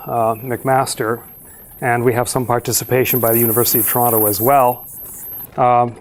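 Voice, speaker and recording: A middle-aged man, a university professor, giving a slide presentation to an audience in a measured, explanatory way with frequent filler pauses. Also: mid-range voice, a little hiss or hum. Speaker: university professor presenting a research exchange program.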